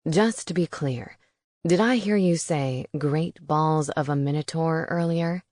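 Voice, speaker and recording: A woman voicing a dry, sardonic male owl familiar who speaks slowly and low. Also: darkish tone, dry recording. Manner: slow, low and unhurried.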